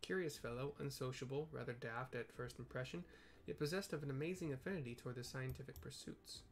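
A man's voice: medium pitch, fast talker, a little hiss or hum, close to an everyday voice. A man voicing a pompous, wordy professor from a fantasy game, with theatrical scholarly diction, over game music. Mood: pleased, pompous. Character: pompous, wordy male professor of crystal science. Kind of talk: reading game dialogue aloud.

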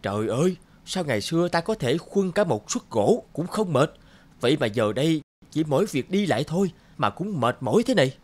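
A whiny, excitable lazy labourer. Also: male voice, fairly high pitch, brisk. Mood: miserable, pleading. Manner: exclamatory, plaintive. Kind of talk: animated folk tale dubbing. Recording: loud music behind the voice.

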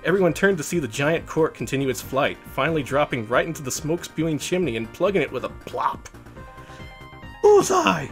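A man's voice: a little high, animated, quick pace, hardly any pauses, soft background music. A man narrating a children's storybook expressively and theatrically. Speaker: storybook reader on a livestream show.

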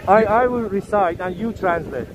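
A man's voice: sits high, animated, moderate pace. A middle-aged man questioning a passer-by in a busy park, in a teasing, pressing way with a put-on foreign accent. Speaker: street debater filming passers-by. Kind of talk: confrontational street questioning. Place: busy city park outdoors. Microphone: handheld phone camera, close. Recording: loud outdoor noise, crowd around.

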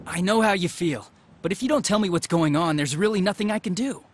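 A man's voice: sits high, a little fast, slight background noise, close to an everyday voice. A man playing a hapless, casual young police detective in a video game, hesitant and easily flustered. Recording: music under the dialogue.

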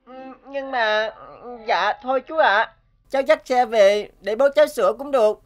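A woman's voice: fairly high pitch, normal speed, lightly acted. A woman voicing a polite, earnest and slightly wary young schoolboy in a cartoon. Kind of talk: cartoon voice acting.

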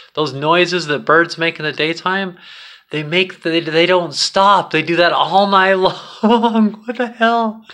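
A man's voice: medium pitch, pushed and bright. A middle-aged man telling a personal story in a casual, rambling, candid vlog style, recorded on a phone indoors at home. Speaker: vlogger. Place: small indoor room at home. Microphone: phone at arm's length.

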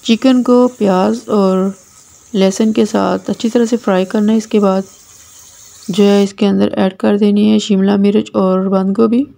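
A woman's voice: mid-range voice, little pitch movement, unhurried, vocal fry, dark tone. A woman with a Pakistani Urdu accent, hosting a cooking channel and explaining a recipe step by step in a plain, repetitive instructional way. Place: home kitchen.

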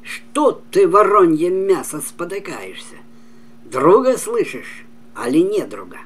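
Scornful storybook dragon, played by an older male narrator, drawn-out commands, big pitch swings, noisy recording. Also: sing-song, fairly bright tone, heavily acted. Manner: scornful, declamatory. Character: menacing, haughty male six-headed dragon monster. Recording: clearly noisy home recording.

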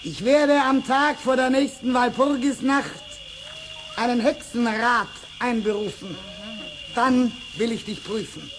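A stern old ruler of the witches, played by a woman, speaks slowly and imperiously, with thundering authority. The vintage radio play recording has clear noise. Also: fairly high pitch, fairly even intonation, lightly acted. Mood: stern, measured.

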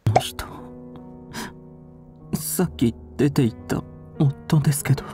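Man acting a mellow young anime male. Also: mid-range voice, moderate pace, soft background music, natural voice. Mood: sad, hushed.

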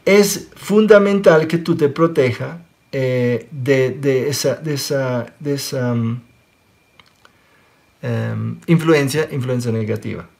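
A man in his forties from Sicily who speaks with an Italian accent, giving an animated spiritual talk in an online question-and-answer session and often checking in with listeners. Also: mid-range voice, takes it slowly, gravelly. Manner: animated, gestures a lot, checks in with listeners by asking "ok?". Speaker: spiritual teacher. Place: small room indoors. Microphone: webcam close up.

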